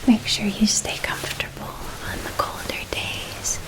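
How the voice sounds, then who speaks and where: A nurturing witch, played by a woman, speaks entirely in a soft, breathy whisper. She takes her time, doting and unhurried, lingering on each small detail. It is intimate ASMR roleplay, gentle from start to end. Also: close to an everyday voice, rough recording. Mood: gentle, caring. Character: gentle, nurturing witch helping a young student pack.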